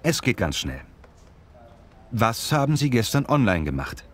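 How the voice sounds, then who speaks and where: A man's voice: medium pitch, very animated, measured pace. A man playing a courteous street reporter asking survey questions in a scripted language-lesson dialogue. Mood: polite, inquiring. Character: polite male street reporter doing a survey. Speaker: interviewer.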